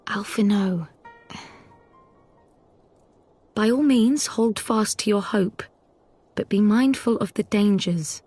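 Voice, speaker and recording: A woman's voice: mid-range voice, a little slow, warm tone, husky, dry recording, soft background music. A woman voicing a spirited, learned female scholar in a game cutscene, with formal, precise, schoolteacherly speech. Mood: gravely cautioning. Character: small learned woman scholar, spirited, gently chiding mentor. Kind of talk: video game cutscene acting.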